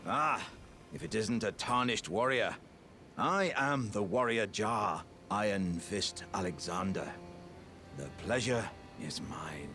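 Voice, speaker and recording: A man with a British accent voicing a hearty, pompous, good-natured living warrior jar in a theatrical fantasy game style. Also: fairly low pitch. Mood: jovial, puzzled. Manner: grandiose, jovial, old-fashioned gentlemanly phrasing. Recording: music behind the voice.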